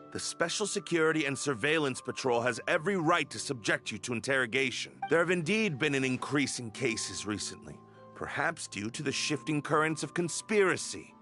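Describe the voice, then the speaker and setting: An actor playing a stern, jaded but protective patrol captain in a video game, firm and dry in manner. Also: male voice, fairly high pitch, pushed and bright. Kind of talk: video game character acting. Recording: music behind the voice. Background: game music.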